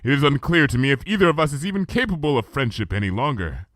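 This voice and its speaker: A man's voice: mid-range voice, sing-song, fast, fairly bright tone, no echo, studio quality, voice pushed up. A man voicing a regal, archaic-speaking female moon princess pony in a fan fiction reading, with formal thee-and-thou speech. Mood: solemn.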